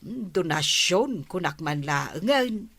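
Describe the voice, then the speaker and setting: A woman's voice: lowish voice, expressive, takes it slowly, fairly bright tone, husky, no room sound, clean studio sound, exaggerated voice. A woman playing a village captain's wife in a radio comedy drama, speaking politely and earnestly.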